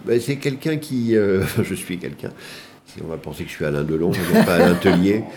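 A man with a deep voice, a guest in an interview, answering in a witty, self-mocking way. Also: expressive, fairly quick, darkish tone.

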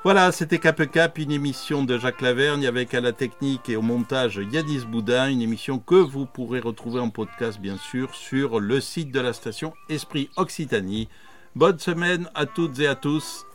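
A man's voice: mid-range voice, moderate pace, faint music behind. Chatty French radio host man. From France. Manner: conversational, chatty, hesitant fillers. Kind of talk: radio interview.

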